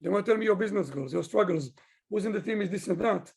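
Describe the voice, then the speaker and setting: Husky, high-pitched man from Israel. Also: expressive, fast talker, darkish tone. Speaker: business owner, digital consultant being interviewed. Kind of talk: interview answers, conversational.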